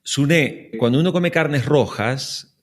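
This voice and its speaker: A man hosts a podcast interview. His pitch is average, and he speaks in a measured, conversational manner, setting things out step by step.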